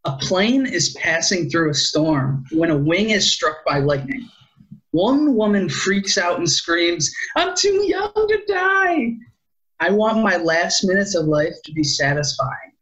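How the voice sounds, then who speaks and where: High-voiced young man, playful and giggly. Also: big pitch swings, a little slow, a bit bright, low-quality recording. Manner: playful, giggly, tells jokes. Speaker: podcast co-host. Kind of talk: joking casual banter.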